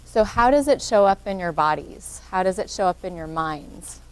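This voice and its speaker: A woman with a soft voice, a social worker giving an interactive workshop talk and encouraging answers from the audience. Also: lowish voice, animated, moderate pace, smooth, rough recording.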